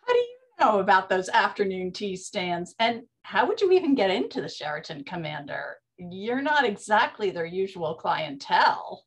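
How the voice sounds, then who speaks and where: An actress playing a brash, slangy speakeasy proprietress of the 1920s in a comic period drama. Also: female voice, mid-range voice, big pitch swings, moderate pace, few pauses, natural voice. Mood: bossy, brisk. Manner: bossy, slangy. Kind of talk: period comedy radio-play acting.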